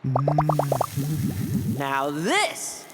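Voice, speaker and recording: Slow, playfully sinister young knight. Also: male voice, a little high, very animated, heavily acted. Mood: silky menace. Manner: teasing, theatrically polite. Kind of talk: video game voice acting. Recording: music behind the voice.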